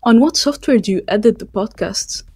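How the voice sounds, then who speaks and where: A woman's voice: a little high, moderate pace, warm tone, breathy edge, soft background music. A woman interviewer reading out short scripted questions.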